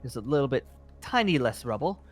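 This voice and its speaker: A man's voice: mid-range voice, big pitch swings, a little fast, soft background music. A man playing a genial, rambling guild master mage in an improvised game roleplay, speaking politely and hesitantly. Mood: genial, modest. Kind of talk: improvised Minecraft roleplay acting.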